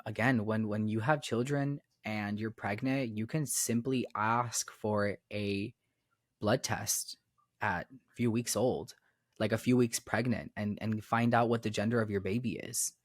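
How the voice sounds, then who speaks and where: A man's voice: fairly low pitch, fairly even intonation, unhurried, dry recording, clean studio sound. A young man raised in Miami, a podcast guest talking casually and rambling with many fillers and repeated phrases. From Florida, raised in Miami. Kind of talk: casual conversation.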